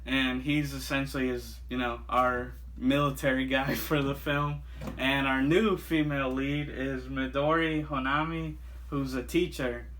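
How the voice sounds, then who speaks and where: A man talks through a film review in an easy, chatty way. He rambles, fills gaps with "like" and "uh", and restarts his sentences often. His voice sits at an ordinary middle pitch. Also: fairly even intonation, measured pace, a bit bright, a little hiss or hum. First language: not Japanese. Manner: rambling, with frequent fillers. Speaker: movie reviewer. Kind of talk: casual chat.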